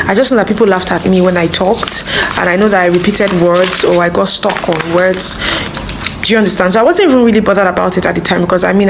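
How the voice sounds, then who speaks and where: Woman, medium pitch, personal testimony, conversational, direct address to viewer, noticeably noisy recording. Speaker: teacher, singer and stutter awareness advocate. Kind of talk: personal testimony to camera, partly read from notes.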